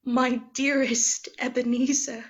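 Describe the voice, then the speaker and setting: A calm, sorrowful young spirit. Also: female voice, high-pitched, highs cut off. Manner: calm, measured, gently reproachful. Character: Christmas past spirit who speaks as Belle, young woman, calm and sorrowful. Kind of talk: radio play acting.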